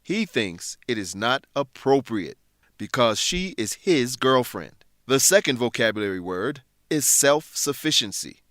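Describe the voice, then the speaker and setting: A man narrating a scripted English lesson in a deliberate, instructive way. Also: mid-range voice, very animated, a bit bright, no echo, studio quality.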